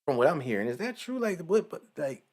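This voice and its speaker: Male host, late 20s, casual slangy commentary, rambling and animated. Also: on the high side, fast talker.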